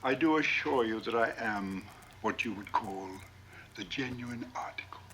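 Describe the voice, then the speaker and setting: An actor playing an imperious, courtly being who claims to be God, speaking formally and theatrically in a 1970s TV science-fiction drama. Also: male voice, medium pitch, measured pace, pushed and bright. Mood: smug assurance. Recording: noisy old television soundtrack.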